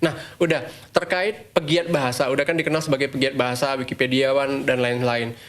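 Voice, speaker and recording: A man's voice: mid-range voice, fairly even intonation, brisk, a bit bright. A man hosting a podcast, listening attentively with frequent short agreements and asking long, carefully framed questions. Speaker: podcast host.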